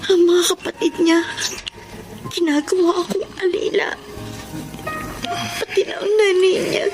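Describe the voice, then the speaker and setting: A woman's voice: very high-pitched, steady tone, measured pace, over music. A woman acting a distressed, tearful young wife, abused and overworked, in a Filipino radio drama. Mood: anguished, tearful. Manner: tearful, pleading. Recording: noisy radio drama track.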